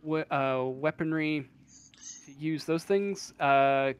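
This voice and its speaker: Slow, halting man running a tabletop game. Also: fairly high pitch, clean tone. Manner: hesitant, halting, thinking aloud. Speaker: game master. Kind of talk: casual explanation during a tabletop roleplaying game.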